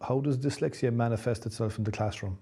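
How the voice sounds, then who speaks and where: A man's voice: mid-range voice, quick pace, warm tone, slightly rough. An Irish man hosting an interview, probing and rephrasing his questions as he goes. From Ireland. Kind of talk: conversational interview.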